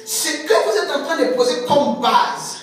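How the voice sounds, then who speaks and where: A woman preacher with a high voice delivers an emphatic sermon, repeating words and drawing out key phrases. She sounds a little off-mic in a very echoey church hall, with soft music underneath. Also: lively intonation, unhurried, far from the mic. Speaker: prophetess preaching.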